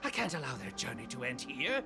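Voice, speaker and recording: An actor playing a passionate, defiant film director in a video game. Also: male voice, mid-range voice, very animated, fairly quick. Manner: earnest, proud, dramatic. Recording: game audio with clear music.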